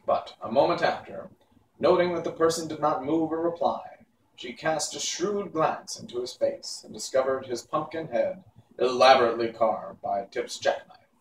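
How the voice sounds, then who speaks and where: A man narrates a children's novel in a medium-pitched voice. His delivery is that of an unpolished amateur reader. He sounds set back from the mic in an echoey room, with faint noise behind him. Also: moderate pace, recorded from a distance. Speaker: storybook reader. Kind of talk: reading a children's novel aloud.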